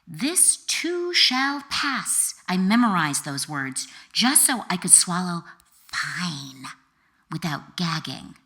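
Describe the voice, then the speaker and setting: A woman author reading her own memoir as an expressive audiobook narrator, with a dramatic, deliberate delivery and emphatic pauses. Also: on the low side.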